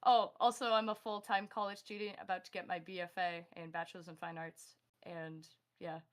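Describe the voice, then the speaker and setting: A young woman with a slightly low voice. She talks casually and informally, as a student introducing herself in a group chat. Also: flat delivery, moderate pace. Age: young adult. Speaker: college student.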